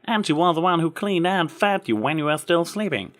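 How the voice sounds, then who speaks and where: Storybook farm pony, female, played by a man, raised pitch, folksy country talk, earnest, frank, protective. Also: expressive, brisk, a bit bright. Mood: thoughtful, reassuring. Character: warm, earnest, protective farm-girl pony, plain-spoken country mare.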